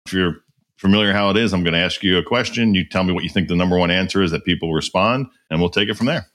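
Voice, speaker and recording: A man hosting a podcast, asking questions and running a quick quiz game in a loose, repetitive way. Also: low voice, fairly bright tone. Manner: loose, repeats himself, many fillers. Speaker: podcast host.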